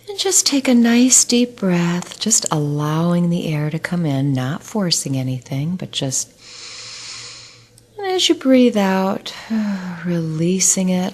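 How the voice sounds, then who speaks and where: A slow, low-pitched woman's voice with a breathy, husky edge. She is a middle-aged nurse talking listeners through breathing and muscle relaxation, soft and soothing, often sinking almost to a whisper. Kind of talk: guided relaxation script.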